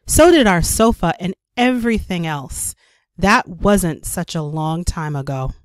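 A woman reading a children's story aloud as a storyteller, with careful, expressive narration for young children. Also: lowish voice, measured pace, no room sound. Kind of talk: scripted read-aloud narration.

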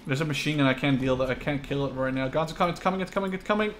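Man, fairly high voice, casual sweary gaming chat, game noise behind. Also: racing. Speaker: video game player. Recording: noisy game audio behind voice. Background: video game battle sounds.

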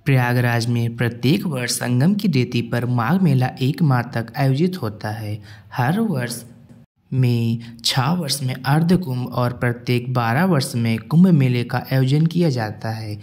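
A man's voice: mid-range voice, darkish tone. Man reading a formal news update. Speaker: YouTube channel host. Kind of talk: read-out news update voice-over.

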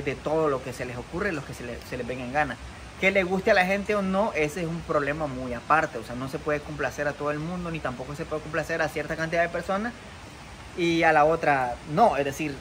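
A young man, a content creator, talking to the camera outdoors beside a muddy rural road, earnest and defensive, with many fillers and restarts. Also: fairly high pitch. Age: young adult. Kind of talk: vlog to camera. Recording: outdoor noise behind. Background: outdoor ambience.

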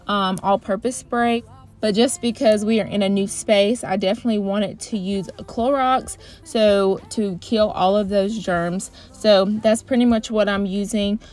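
A woman in her thirties chatting casually in a voice-over for her cleaning vlog, relaxed and full of fillers. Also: mid-range voice. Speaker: cleaning vlogger.

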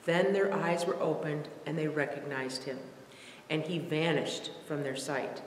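Female scripture reader, mature, careful expressive delivery, lowish pitch, long church reverb with faint background noise. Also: unhurried. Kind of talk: reading scripture aloud from a page. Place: large empty church sanctuary, indoors.

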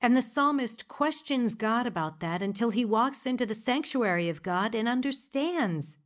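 An actress plays a zealous born-again woman in a radio drama. Her voice is fairly high and very lively in pitch, with an earnest, insistent manner. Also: normal speed, close to an everyday voice. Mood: solemn.

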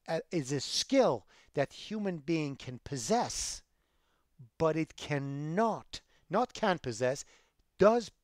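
A middle-aged man, a college programming instructor lecturing online over a headset, emphatic and rising loud when he makes a point. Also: fairly high pitch, dry recording, studio quality. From Iran. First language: Persian.

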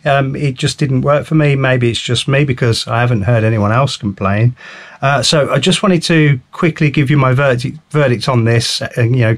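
A man, a motorcycle vlogger giving a casual, rambling gear review to camera, with frequent ums and restarts. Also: medium pitch. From England.

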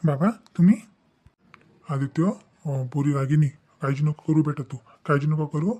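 A man voicing a protective, plain-spoken village family elder in a cartoon story, with a rural Vidarbha Marathi accent and a halting, questioning manner. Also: fairly high pitch, fairly even intonation, mellow, close to an everyday voice. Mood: earnest, reassuring. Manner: halting, questioning, repeats himself. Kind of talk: animated family story dialogue.

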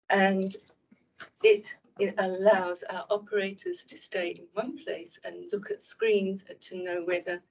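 A woman with a New Zealand accent, a manager, explaining a technical system to a council in a quiet, measured way. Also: fairly low pitch, poor audio. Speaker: asset information manager. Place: large council meeting room.

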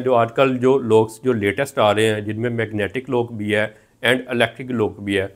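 A man in his thirties with a Pakistani accent, teaching methodically as a technical instructor and repeating each step. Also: medium pitch. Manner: repetitive, methodical explaining. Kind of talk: instructional tutorial, explaining step by step. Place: indoor room at a whiteboard.

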